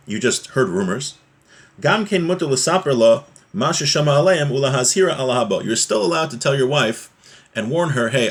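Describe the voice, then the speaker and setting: A man teaching a religious text, reading passages aloud and explaining them in an informal, plain-spoken way. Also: medium pitch.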